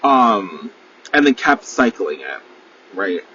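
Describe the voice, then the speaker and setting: A man's voice: sits low, big pitch swings, takes it slowly, pushed and bright, highs cut off, slight background noise. A man, talking in a rambling, casual solo podcast monologue, full of fillers, swearing freely and poking fun at himself. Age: 20s. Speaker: podcast host.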